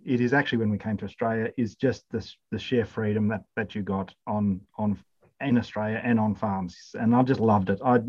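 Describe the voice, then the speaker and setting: A man's voice: on the low side, lo-fi sound. A middle-aged man from Zimbabwe, a podcast guest talking casually with many fillers and restarts. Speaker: podcast guest, incoming college principal. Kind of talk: casual interview chat.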